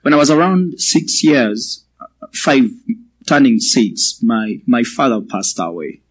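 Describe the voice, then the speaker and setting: A man in his twenties with a Ugandan accent, giving a personal testimony as a guest speaker to a church, in a measured, halting way. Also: medium pitch, lively intonation, husky, low-quality recording. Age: 20s.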